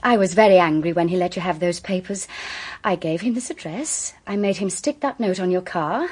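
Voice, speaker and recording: An actress playing a coolly amused, seductive and remorseless murderess in a radio drama, with a silky, mocking delivery. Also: female voice, on the low side, moderate pace, darkish tone, breathy edge. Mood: cold, scornful.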